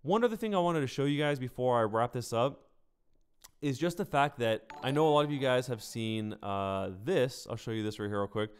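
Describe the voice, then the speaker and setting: A man's voice: mid-range voice, animated, a little fast. A man giving casual livestream gaming commentary, speaking conversationally and directly to his viewers. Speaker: streamer and gaming news host.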